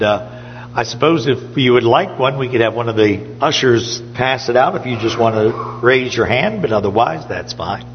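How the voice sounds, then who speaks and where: A man giving a sermon to his congregation, his voice a little hoarse, speaking conversationally with frequent pauses and fillers. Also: mid-range voice, long reverb tail, muffled recording, slight background noise. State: slightly hoarse. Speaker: preacher. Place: church sanctuary.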